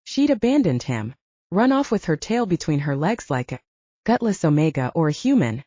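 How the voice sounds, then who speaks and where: Female audiobook narrator, dark soft tone, lively intonation, scripted fiction read. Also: deep voice, normal speed, no echo, lo-fi sound.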